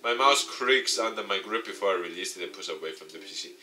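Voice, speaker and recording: A man's voice: on the low side, fast talker. Man narrating over game music. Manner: expressive, acts out dialogue. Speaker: let's player. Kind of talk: reading a visual novel aloud, dramatic narration.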